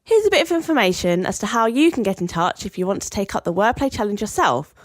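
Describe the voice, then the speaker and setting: A woman's voice: medium pitch, very animated, fast talker, no echo, studio quality. A woman presenting a podcast as host, reading from a script.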